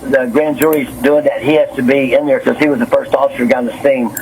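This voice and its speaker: A man's voice: mid-range voice, fairly even intonation, fast, darkish tone. A nervous, agitated man talking on the phone, rambling, repeating himself and stumbling over his words. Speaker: city councilman and business owner on a phone call. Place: on a telephone. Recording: noisy recorded phone call.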